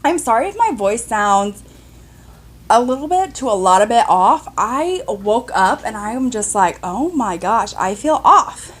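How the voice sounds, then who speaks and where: A woman's voice: a little high, sing-song, unhurried. A young woman chatting casually through a makeup tutorial, upbeat and full of fillers, her voice a little cracky today. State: slightly cracky voice. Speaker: beauty vlogger.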